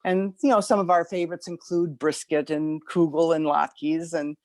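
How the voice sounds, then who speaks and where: An older woman shares personal stories in a fairly low voice. She goes haltingly, restarting phrases as she finds her words. The sound is dry, with no room on it. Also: steady tone, a little mellow, dry recording. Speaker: speaker at a community gathering. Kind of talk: informal personal storytelling.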